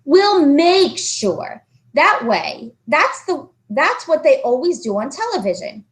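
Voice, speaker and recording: Insistent young schoolgirl, played by a woman, excitable, high and very animated, children's story. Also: slow pace, heavily acted.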